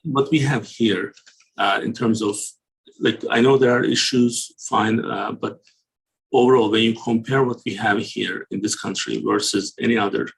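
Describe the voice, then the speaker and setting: A man from Turkey, hosting an interview and asking questions in halting, meandering phrasing. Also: on the low side, steady tone, creaky, slightly rough, poor audio. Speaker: host.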